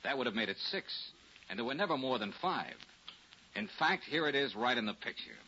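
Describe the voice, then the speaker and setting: An actor playing a confident, wry private detective in a 1940s radio drama, explaining things knowingly. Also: male voice, medium pitch, very animated, brisk, pushed and bright, slightly rough, exaggerated voice. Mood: accusing, firm. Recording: muffled, noisy 1940s radio recording.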